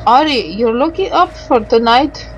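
A woman doing let's play commentary, reading game dialogue aloud haltingly and adding muttered asides. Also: high voice, lively intonation, dull audio, a little hiss or hum.

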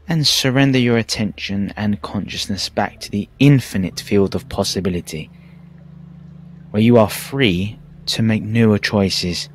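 A man narrating a guided meditation in a calm, measured, soothing way with pauses. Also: fairly low pitch. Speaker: meditation teacher. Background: background music.

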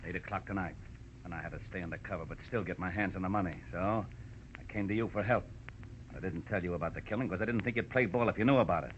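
An actor playing a cold American gangster in an old radio crime drama, threatening and commanding in slangy speech. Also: male voice, low voice, fast, close to an everyday voice. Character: menacing male gangster, cold blackmailer and killer. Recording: muffled, noisy old-time radio recording.

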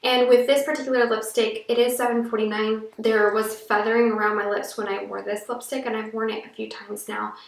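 Young woman, froggy voice, chatty beauty vlogger, higher pitch, roomy sound. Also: level tone, a little fast, vocal fry, few pauses. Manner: chatty, rambling, fillers and restarts. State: froggy voice from allergies. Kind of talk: casual product review to camera. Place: small room indoors. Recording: echoey room, a little far from the mic.

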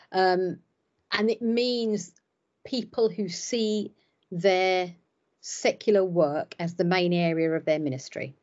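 Middle-aged woman, Cypriot Greek first language, lowish voice, rambling self-deprecating chat, muffled video call sound. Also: darkish tone. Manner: chatty and self-deprecating, with many fillers and restarts. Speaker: ordinand and hairdresser. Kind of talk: casual interview on a video call. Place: home room by a window. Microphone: laptop webcam on a video call. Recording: dull lo-fi video call audio.

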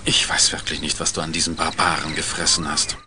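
An actor playing a sardonic, fed-up young prince in a 1980s German fantasy audio drama. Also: male voice, rumbling low, flat delivery, a little fast. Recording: noisy old cassette audio with ambience.

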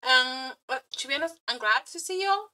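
High, bright-voiced woman, gushing fangirl streamer. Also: animated. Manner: gushing, flirty, giggly fangirl; halting, scattered, repeating words. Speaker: aspiring VTuber and streamer. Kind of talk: casual reaction chat to viewers.

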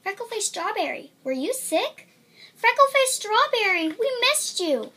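A woman voicing excitable, blunt schoolchildren calling out in a children's storybook. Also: extremely high pitch, a little slow, voice pushed up, heavily acted. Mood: eager, friendly. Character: nosy, teasing schoolchildren calling out to a girl.

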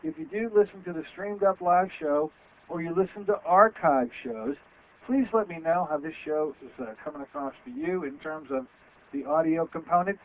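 A loud, rambling Western Pennsylvania man in his sixties hosts a radio health show from a small office. His voice sits a touch high and comes through a headset mic. The sound is tinny and phone-like. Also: normal speed. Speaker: radio show host, physician. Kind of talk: casual radio talk. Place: small office with framed certificates.

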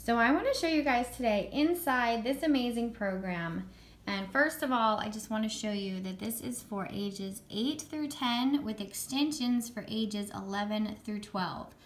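Hoarse, chatty woman reviewing books. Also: on the high side, unhurried. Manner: chatty and enthusiastic, with fillers. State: hoarse from a cold. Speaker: homeschool curriculum reviewer. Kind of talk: casual show-and-tell review. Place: home room with a world map.

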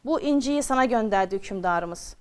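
A woman's voice: fairly high pitch, lively intonation, normal speed, darkish tone, smooth, close to an everyday voice. A woman storyteller voicing a king's male messenger, officious and calling out commands in a children's tale.

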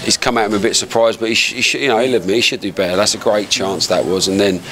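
Manager guy gabbling fast after the match, lowish voice, outdoors. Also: fairly even intonation, fairly bright tone, slight background noise. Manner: rapid, restarts his words. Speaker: football manager. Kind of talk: post-match interview. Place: outdoors by a football ground wall.

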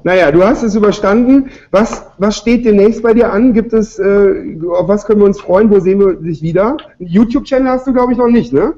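A man's voice: high voice, brisk, few pauses, mellow, lo-fi sound. A man hosting a cooking show in a studio kitchen, joking and interviewing guests while cooking.

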